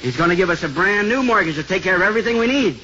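A man's voice: high voice, animated, racing, bright, forceful tone, slight background noise. A man playing a cheerful, joking priest in a 1950s radio drama. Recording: muffled vintage radio broadcast with music.

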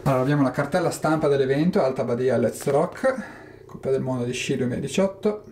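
A man's voice: mid-range voice, fairly quick, a little mellow, slight background noise. A young man talking to a handheld camera as a travel vlogger, chatty and upbeat, speaking directly to his viewers. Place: mountain outdoors and hotel room. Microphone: handheld camera at arm's length.

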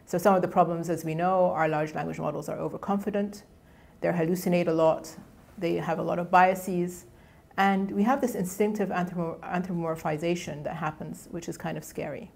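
A middle-aged woman whose first language is Egyptian Arabic speaks from a lecture podium. Her voice sits low with a gravelly, creaky edge. She talks in a loose, conversational way, often breaking off and restarting her phrases. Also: steady tone, fairly quick, a little mellow. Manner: conversational, with frequent restarts and fillers. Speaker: guest lecturer. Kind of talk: academic colloquium talk. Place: lecture hall.

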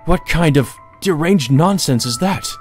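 A man performs a distraught young brother of noble house in a game, his pitch pushed up in disbelief. He stammers indignantly and defends himself over faint music. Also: animated, a little slow. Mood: disbelieving. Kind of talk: acted reading of a visual novel game.

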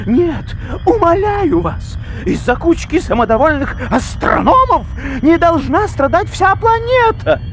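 A very high, anxious cartoon moose. The pleading is agitated and lively, with faint noise behind. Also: measured pace, exaggerated voice. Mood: desperate. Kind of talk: animated children's cartoon acting.

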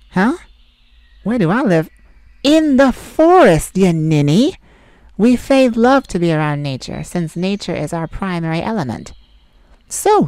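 A woman's voice: fairly low pitch, mellow, no room sound. A woman voicing a sassy, snarky male forest fae in an ASMR roleplay, teasing and blunt, recorded on a close mic.

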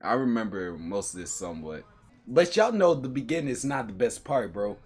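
A young man with a husky voice giving a casual, slangy, incredulous reaction commentary in a room at home. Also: mid-range voice, very animated, moderate pace, darkish tone, soft background music. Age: young adult. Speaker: reaction video host. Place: small room at home, indoors.

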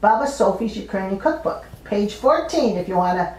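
A woman's voice: mid-range voice, animated, normal speed, a little mellow, husky, rough recording. Older woman presenting at a home table. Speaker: author and cooking demo host. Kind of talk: poem reading and cooking demonstration commentary. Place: indoors at a dining table in a home.

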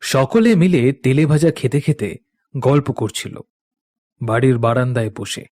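A man narrating an animated ghost story in a dramatic storytelling style. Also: mid-range voice, unhurried.

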